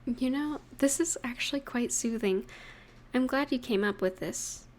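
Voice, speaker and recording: A high, slow-paced voice for a young, affectionate girlfriend acted by a woman in an intimate audio roleplay. She talks softly to her partner, playful and tender, leaving gentle pauses. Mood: playful, relaxed. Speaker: voice actor in romantic audio roleplay. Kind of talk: intimate scripted roleplay addressed to the listener.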